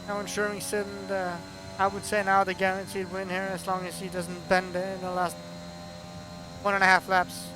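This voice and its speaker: A man from Norway with a Norwegian accent, not a native English speaker, giving chatty, excitable commentary on a sim race. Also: high voice, normal speed, noisy background. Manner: chatty, excitable, laughs often.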